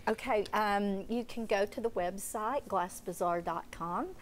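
A woman's voice: mid-range voice, a little slow. A woman in her fifties chatting through a cooking demonstration as a guest cook, on a lapel mic in a studio kitchen. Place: TV studio kitchen set, indoors. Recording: clearly noisy studio background.

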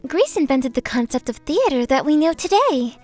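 A woman voicing a polite, earnest young princess in a fan cartoon, sweet but gently brave. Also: sits high, big pitch swings, normal speed, faint music behind. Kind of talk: animated fan cartoon acting.

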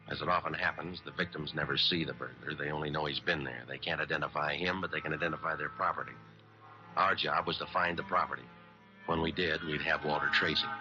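A man's voice: a bass voice, bright, forceful tone, full character voice. An actor playing a stoic, dogged American police detective narrating his case in terse, matter-of-fact radio-drama style. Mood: flat, determined. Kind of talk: 1940s-50s radio crime drama, clipped narration. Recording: dull vintage radio recording, music.

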